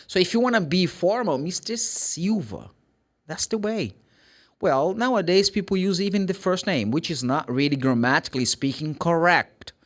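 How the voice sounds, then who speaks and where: A man with a Brazilian accent and Portuguese as his first language gives an informal English pronunciation lesson. His voice sits a little high and moves up and down in a very lively way. He speaks emphatically, posing questions and answering them himself like a classroom teacher. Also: normal speed. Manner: emphatic, asks and answers own questions, acts out short examples.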